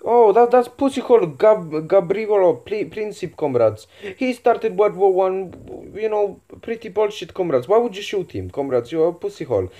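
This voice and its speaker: A man's voice: high-pitched, big pitch swings, normal speed, hardly any pauses, dark tone. A man voicing a crude, boastful Soviet commander persona who reacts to a video with profane, mocking commentary and calls everyone comrade.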